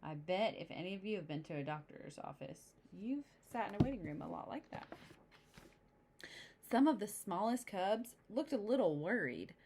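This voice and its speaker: A woman's voice: mid-range voice, big pitch swings, moderate pace, noisy background. Woman reading a children's picture book aloud. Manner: explains the pictures simply to young children. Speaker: storybook reader.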